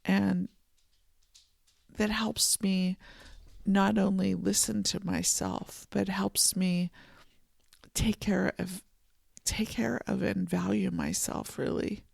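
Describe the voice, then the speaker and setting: A podcast host giving a soft, contemplative solo monologue from a home office, voice a little froggy this morning. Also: medium pitch, steady tone, vocal fry, dry recording. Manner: soft, slow and contemplative, with gentle restarts. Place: home office and art studio.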